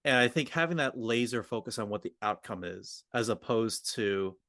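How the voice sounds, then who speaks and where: A man's voice: on the low side, lively intonation, moderate pace, fairly bright tone, no echo, studio quality. A man co-hosting a podcast as a communication coach, talking off the cuff and explaining points with example dialogue. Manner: explanatory, often illustrates points with hypothetical quoted dialogue. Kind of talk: unscripted coaching discussion.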